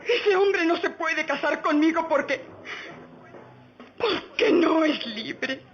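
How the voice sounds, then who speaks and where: A woman's voice: extremely high pitch, takes it slowly, soft background music. An actress playing an anguished young woman in forbidden love in an old radio soap, crying, with a melodramatic delivery. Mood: anguished, tearful. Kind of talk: vintage radio soap opera acting. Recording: dull lo-fi old radio drama recording.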